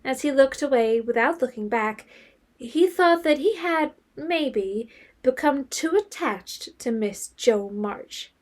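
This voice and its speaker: A woman's voice: high-pitched, expressive, takes it slowly, a little mellow. A woman narrating an audio drama as a scripted literary story.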